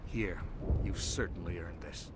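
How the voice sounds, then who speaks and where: A man's voice: a little slow, music in the background. An actor playing a grateful male colonist worker in a video game. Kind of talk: video game dramatic acting. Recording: clear outdoor rumbling ambience.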